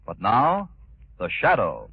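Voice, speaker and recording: Animated, husky American radio announcer. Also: male voice, fairly low pitch, slow. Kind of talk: 1940s scripted radio announcing. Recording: tinny, dry 1940s radio broadcast.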